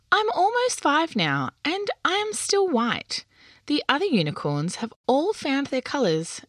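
A woman voicing a small young male unicorn in a storybook, with a high childlike voice. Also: a bit bright, no echo, studio quality, full character voice.

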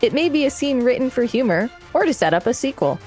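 A woman's voice: high voice, very animated, quick pace, darkish tone, faint music behind. A woman co-hosting a podcast, reading an article aloud and adding casual commentary. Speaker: podcast co-host.

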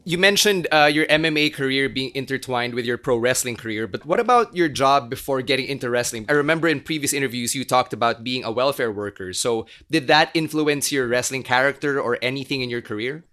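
A man hosting a podcast interview, listening attentively and asking measured questions. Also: mid-range voice, bright, forceful tone. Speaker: podcast host.

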